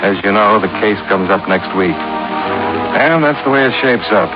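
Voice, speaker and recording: A terse, wry investigator in a vintage radio detective show. His voice is low and his delivery clipped and matter-of-fact. It is a dull old broadcast recording with loud music. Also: lively intonation, measured pace. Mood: dry, wry. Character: hard-boiled male insurance investigator, terse and wry. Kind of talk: 1950s radio detective acting.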